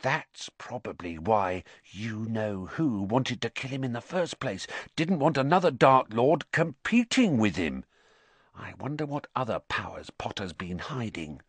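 A man's voice: fairly high pitch, big pitch swings, normal speed, fairly bright tone, dry recording, voice pushed up. A man voicing a pompous, fearful schoolboy in an audiobook, dramatic and insistent. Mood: hushed, mysterious. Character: pompous, fearful schoolboy spreading dark suspicions. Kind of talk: audiobook character acting.

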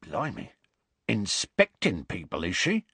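Storybook giant, male reader: gruff, husky, genial, folksy, lively intonation. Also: deep voice, a little slow, no echo, heavily acted. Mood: wary. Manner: folksy, colloquial, breezily reassuring. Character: gruff, genial, easygoing giant man, stubbornly enthusiastic.

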